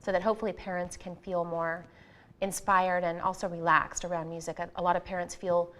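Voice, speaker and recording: A woman's voice: fairly low pitch, flat delivery, brisk, fairly bright tone, slight background noise. A woman guest on an interview show, explaining her music program fluently and earnestly. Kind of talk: interview answers.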